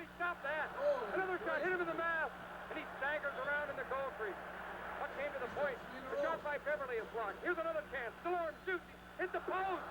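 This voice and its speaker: A noisy late-seventies radio recording carries a man calling ice hockey live. His voice sits high, and he follows play in short, clipped running phrases. It is classic play-by-play delivery, climbing in pitch whenever a shot comes. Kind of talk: live 1970s radio sports commentary.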